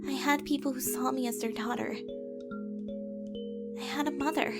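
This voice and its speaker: A woman acting a gentle, vulnerable young heroine in an audio drama, telling her past in an earnest, emotive, confiding way. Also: high-pitched, fairly even intonation, normal speed, loud music behind the voice. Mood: tender, reflective.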